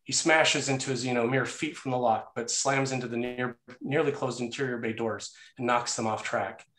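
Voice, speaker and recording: A man's voice: mid-range voice, steady tone, moderate pace, fairly bright tone. A man narrating action and scene headings from a screenplay in a scripted read. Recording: roomy, echoey sound.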